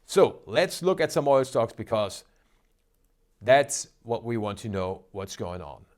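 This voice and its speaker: A man's voice: medium pitch, big pitch swings, a little slow, a little mellow. A man hosting a stock trading show, talking in an upbeat, emphatic, salesy way. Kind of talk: energetic market commentary.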